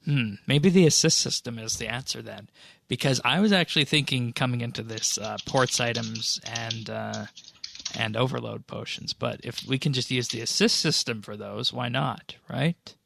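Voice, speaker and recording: A man's voice: medium pitch, moderate pace, vocal fry, a bit bright, no room sound. A man hosting a casual podcast discussion, talking things through as he thinks aloud. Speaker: podcast host.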